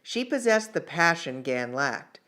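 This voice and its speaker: A man's voice: a little high, big pitch swings, a little slow, pushed and bright. A man narrating fiction in an audiobook style, reading aloud with the odd small stumble. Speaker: author reading own novel chapter.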